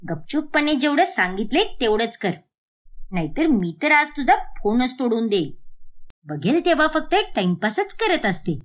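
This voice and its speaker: An exasperated middle-aged mother from a cartoon family story, acted by a woman. Her voice sits high and swings widely in pitch as she berates and nags. The delivery is sharp and scolding. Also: sing-song, normal speed. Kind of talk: animated moral story dialogue.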